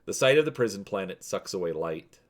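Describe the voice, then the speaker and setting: A man's voice: low-pitched, animated, brisk. A man hosting and narrating a dramatic reading of an adventure storybook. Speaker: host and narrator.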